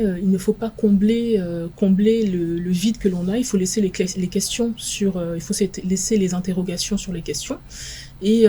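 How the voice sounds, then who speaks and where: Mellow-voiced woman, measured and halting. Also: medium pitch, a little hiss or hum. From France. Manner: measured, analytical, halting with many pauses. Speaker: guest expert in international relations. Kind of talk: television panel debate.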